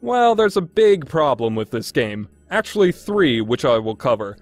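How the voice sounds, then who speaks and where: A man's voice: medium pitch, sing-song, moderate pace, no echo, faint music behind. A man delivering a scripted review narration in an opinionated, emphatic way. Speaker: reviewer, list host.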